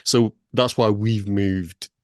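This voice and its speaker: A man from northern England, hosting a casual interview in a halting, self-deprecating way. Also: on the low side, steady tone, no echo, studio quality. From Blackburn, northern England. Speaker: podcast host, academic consultant.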